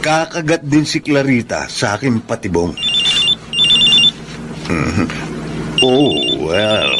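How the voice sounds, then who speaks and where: A man's voice: medium pitch, lively intonation, takes it slowly, very noisy. Smug scheming man, swooping intonation. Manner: smug, code-switching into English. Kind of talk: radio drama acting.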